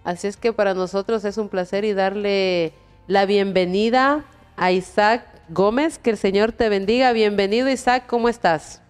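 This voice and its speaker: Woman host of a church testimony show, clean smooth voice, soft music under it, keeps checking in with "right?". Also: mid-range voice, normal speed. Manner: warm preachy phrasing with frequent "¿verdad?" prompts.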